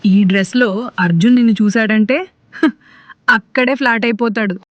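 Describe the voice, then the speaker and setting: Woman acting a high-voiced teasing young friend. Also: normal speed, no room sound, lo-fi sound, lightly acted. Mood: admiring, teasing. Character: teasing, flattering young female friend and employee. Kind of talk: cartoon story dialogue.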